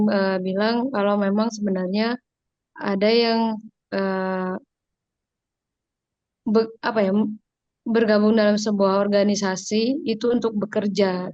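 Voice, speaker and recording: A woman's voice: medium pitch, darkish tone, dry recording. A young woman sharing her view on a video call, hesitant and full of fillers. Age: young adult. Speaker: online forum participant. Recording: dull dry video call audio.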